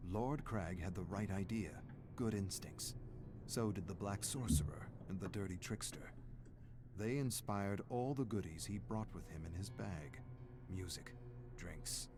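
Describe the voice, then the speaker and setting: A man playing a brooding, menacing narrator who tells a dark horror game story in a deliberate, dramatic way. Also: sits low, background noise. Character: brooding, menacing male narrator of a sadistic doctor's thoughts. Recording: music playing behind the voice.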